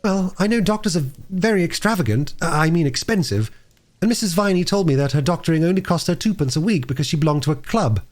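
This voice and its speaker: A man's voice: on the high side, animated, fast, pitched above the performer's own voice, close to an everyday voice. A man voicing an earnest, anxious young girl in a storybook, hesitant and pleading.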